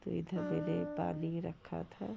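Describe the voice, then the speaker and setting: Hoarse, low-voiced woman narrating slowly. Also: little pitch movement, loud music behind the voice. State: hoarse from a cough and cold. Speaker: home cooking vlogger. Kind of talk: casual cooking vlog voice-over. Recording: dull lo-fi sound, light background noise.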